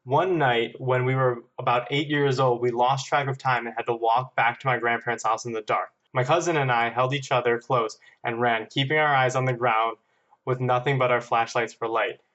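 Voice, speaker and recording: Man, casual podcast banter, reading stories aloud, playful amused storyteller, mid-pitched voice. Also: steady tone, moderate pace, a bit bright, dull audio. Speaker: podcast guest and editor.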